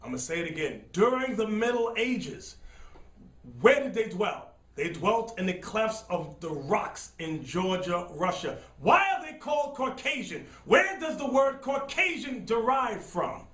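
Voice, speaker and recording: Man, high lively voice, fiery bible teacher, roomy off-mic studio sound. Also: measured pace, far from the mic. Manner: shouts, repeats phrases, directs the reader. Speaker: preacher teaching from scripture. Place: studio set with dark backdrop.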